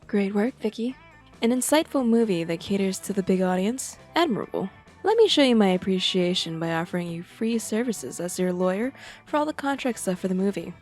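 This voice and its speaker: A woman's voice: medium pitch, animated, a little fast, darkish tone, faint music behind, close to an everyday voice. Woman as a cool, shrewd, dangerous monster girl. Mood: slyly approving. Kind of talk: amateur visual novel dub.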